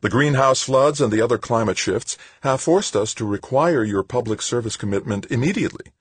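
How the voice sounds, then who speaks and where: A man's voice: on the high side, wildly swooping pitch, normal speed, darkish tone, slightly rough, dry recording, voice pushed up, heavily acted. A man voicing a falsely cheerful, pious middle-aged woman bureaucrat in an audiobook, with a condescending, sing-song schoolteacher manner. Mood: patronizing. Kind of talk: audiobook character dialogue.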